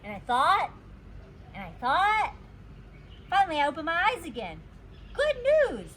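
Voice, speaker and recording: Lady teacher reading a kids book, expressive n swoopy, highish, little bit of noise. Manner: animated, deliberate storytelling for children. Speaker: teacher reading a story aloud. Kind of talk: expressive children's storybook narration.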